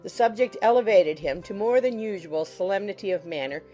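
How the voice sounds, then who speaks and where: A woman's voice: mid-range voice, expressive, a little fast, warm tone, soft background music, rough recording. A woman narrating a classic novel as a scripted audiobook.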